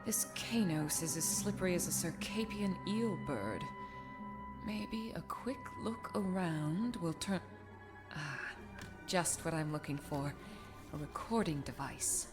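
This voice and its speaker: A woman's voice: fairly low pitch, slow pace, over music. An actress playing a shrewd, curious female commander in a radio drama, with a wry, probing way of talking. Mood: sly curiosity. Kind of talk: scripted radio drama acting.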